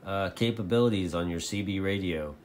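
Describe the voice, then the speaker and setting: A man vlogging casually about his hobby in a folksy, halting, repetitive way. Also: sits low, darkish tone. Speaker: video host, radio hobbyist. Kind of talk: casual rambling vlog talk. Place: indoor home radio shack. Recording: clearly noisy home recording.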